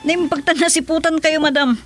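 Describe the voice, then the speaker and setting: A woman's voice: high voice, steady tone, brisk, music in the background, close to an everyday voice. An actress with a Filipino accent playing a deferential female assistant in a radio drama. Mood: amused.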